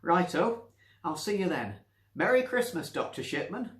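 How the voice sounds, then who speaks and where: A man's voice: high-pitched, sing-song, normal speed, reverberant, pitched above the performer's own voice. A man voicing a feisty, chatty elderly widow with a Northern English accent, blunt and teasing, in a story reading. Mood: delighted, confident. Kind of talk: story reading with character voices.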